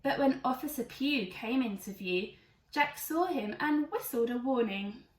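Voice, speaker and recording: A woman with a high voice reads a rhyming storybook to children. Her delivery is expressive and emphatic. The recording is roomy and slightly distant. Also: unhurried. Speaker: teacher reading to children. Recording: echoey room, away from mic.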